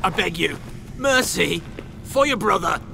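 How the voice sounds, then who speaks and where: A man's voice: sits high, expressive, measured pace, gravelly, noisy background, heavily acted. An actor playing a desperate, cowering man who pleads for mercy in a video game. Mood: terrified pleading. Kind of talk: dramatic video game acting.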